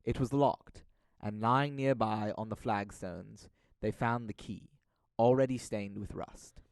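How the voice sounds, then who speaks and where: A man's voice: fairly low pitch, fairly even intonation, measured pace, smooth, no room sound. A young man reading scripted dramatic narration of a classic tale, as narrator of an audio drama. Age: young adult.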